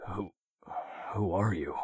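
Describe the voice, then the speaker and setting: A man's voice: deep voice, slow pace, darkish tone, slightly rough, no room sound, clean studio sound, exaggerated voice. A man voicing a frightened, defiant captive who stammers and curses. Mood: scared. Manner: stammering, then cursing.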